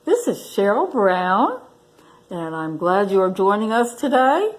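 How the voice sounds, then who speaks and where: Unhurried, lively woman reading a children's story. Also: medium pitch. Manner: deliberate, animated storytelling. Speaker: library staff story reader. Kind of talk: expressive children's picture book read-aloud.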